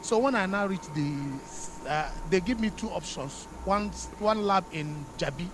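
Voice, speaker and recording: A man with a Nigerian accent giving a street interview, telling his account in steady detail. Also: high-pitched, a little slow. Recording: street noise with passing vehicles.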